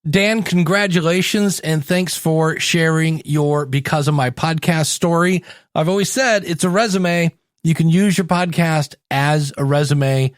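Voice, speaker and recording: Slightly high-voiced man hosting a podcast. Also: dry recording, clean studio sound. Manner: conversational, addresses the listener directly. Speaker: podcast host and podcasting coach. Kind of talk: podcast sign-off and promo.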